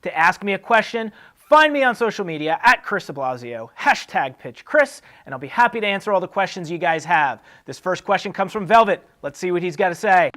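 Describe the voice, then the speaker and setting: A man's voice: high voice, lively intonation, brisk, fairly bright tone. Conversational man hosting an advice show. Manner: conversational, explanatory. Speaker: host answering acting career questions. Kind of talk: casual advice talk to camera.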